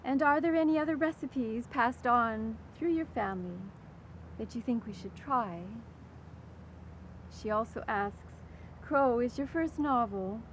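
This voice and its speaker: An older woman hosting a literary interview, putting prepared questions and audience questions in a measured, articulate way. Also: fairly high pitch, poor audio. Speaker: interviewer, event host. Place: outdoors in a rose garden. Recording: clear outdoor background noise.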